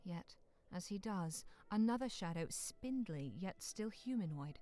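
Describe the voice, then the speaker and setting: A woman narrating a bleak, frozen monster-hunting visual novel as a solemn storyteller, with phrases sinking into hush. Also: mid-range voice, lively intonation, unhurried, lightly acted. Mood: ominous, suspenseful. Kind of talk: scripted second-person dramatic narration. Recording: clear noise behind the voice.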